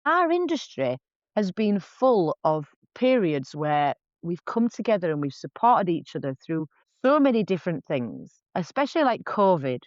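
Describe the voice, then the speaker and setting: A woman's voice: lowish voice, a little mellow, no room sound. Frank, chatty woman in her forties. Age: 40s. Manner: frank and chatty, with lots of restarts and self-quoted asides. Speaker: podcast guest, face painter. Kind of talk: candid casual conversation. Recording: dull, dry lo-fi podcast audio.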